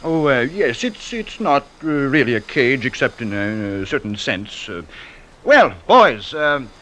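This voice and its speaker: An actor playing a genteel, coldly casual middle-aged estate owner, hemming and politely menacing, in old-time radio drama style. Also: male voice, mid-range voice, big pitch swings, a little slow, a bit bright, poor audio. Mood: blandly casual.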